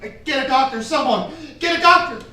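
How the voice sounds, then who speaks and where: A woman playing an excitable, protective young man, urgent and blustering, in a live radio drama. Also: high-pitched, brisk. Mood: alarmed, urgent. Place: stage of a live theatre performance. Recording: very echoey hall, distant from mic.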